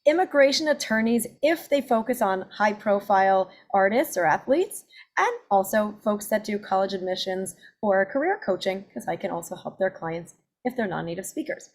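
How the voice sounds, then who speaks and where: A woman in her forties, an accent and presentation coach, giving an upbeat webinar pitch of her services. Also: lively intonation, moderate pace. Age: 40s. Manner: upbeat, self-promoting, listing services.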